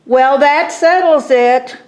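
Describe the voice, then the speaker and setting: A woman's voice: high voice, slow pace, pitched above the performer's own voice, rough recording. A woman voicing a scared but knowing schoolboy in a storybook, declaring his suspicion emphatically. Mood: alarmed certainty.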